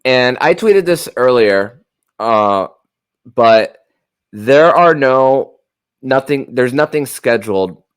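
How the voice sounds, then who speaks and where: Halting, low-voiced man hosting a podcast. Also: clear, resonant tone, no room sound. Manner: halting, stammering with repeated words. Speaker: podcast host. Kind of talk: conversational sports podcast interview.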